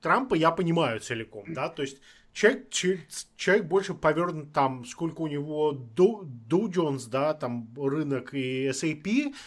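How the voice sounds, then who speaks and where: A man in casual chat, animated and thinking aloud, often restarting his words. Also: fairly high pitch, normal speed.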